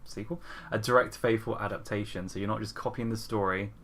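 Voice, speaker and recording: A man's voice: on the low side, fairly even intonation, fairly quick, fairly bright tone, a little hiss or hum. A man talking informally and conversationally as a panelist on a casual podcast. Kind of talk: casual chat.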